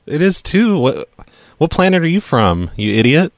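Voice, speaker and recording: A man's voice: fairly high pitch, big pitch swings, moderate pace, no room sound, studio quality. A man making a prank phone call in casual speech, hesitant and argumentative as he stumbles over his words. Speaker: prank caller, show host.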